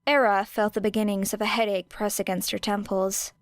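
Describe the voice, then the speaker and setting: Woman narrator for a podfic, even mid pitch, dry close sound. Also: no echo. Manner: measured, expressive storytelling. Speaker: podfic reader. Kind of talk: audiobook narration of fan fiction.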